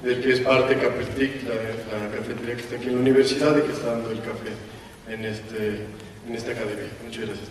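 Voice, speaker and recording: Flat-voiced man speaking in an echoey hall. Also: mid-range voice, quick pace, far from the mic, a little hiss or hum. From Mexico. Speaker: panelist. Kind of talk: conference panel talk. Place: large conference hall.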